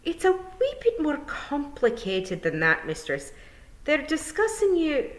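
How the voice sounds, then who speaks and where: Woman voicing a tiny Scottish pixie lad, pitched high. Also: wildly swooping pitch, unhurried, heavily acted. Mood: hesitant, embarrassed. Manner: dialect-heavy, addresses listener respectfully as mistress. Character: cheerful tiny young male pixie, earnest and deferential.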